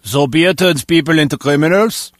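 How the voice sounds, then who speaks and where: A man's voice: on the high side, moderate pace, no echo, studio quality, close to an everyday voice. An actor playing a mild, inquisitive male guard in a video game, talking conversationally. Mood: curious. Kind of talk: video game character dialogue.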